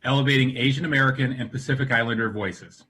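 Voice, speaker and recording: A man presiding over a council session on a video call, running procedure in a brisk, formal way. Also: mid-range voice, fairly even intonation, bright, forceful tone, husky. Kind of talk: formal meeting chairing.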